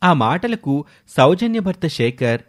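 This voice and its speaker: A man's voice: on the high side, very animated, measured pace. A man narrating a scripted moral story as its storyteller.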